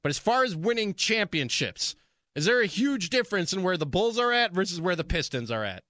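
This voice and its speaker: A man's voice: high voice, very animated, a little fast, pushed and bright, dry recording, studio quality. An American man hosting sports talk radio, speaking in an emphatic, conversational monologue that repeats phrases for emphasis.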